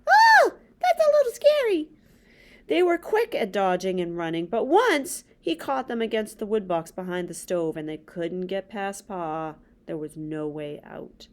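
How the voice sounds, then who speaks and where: A woman's voice: lowish voice, lively intonation, unhurried, hardly any pauses, darkish tone. A middle-aged woman hosting a children's read-aloud, reading a story and adding casual asides. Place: small indoor room with bookshelves.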